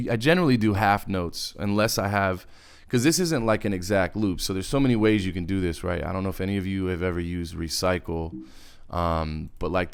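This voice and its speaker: A man's voice: low-pitched, creaky. A man giving a casual music production tutorial in laid-back slang, rambling off into asides as he goes. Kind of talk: casual screen-recorded tutorial. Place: indoors, voice-over on a screen recording. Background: music from the software.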